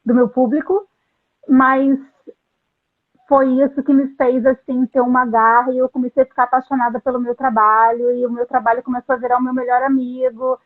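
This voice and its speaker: A middle-aged woman, a translator, telling her story in an interview, earnest and halting with frequent restarts. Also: sits high, level tone. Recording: tinny phone-like line.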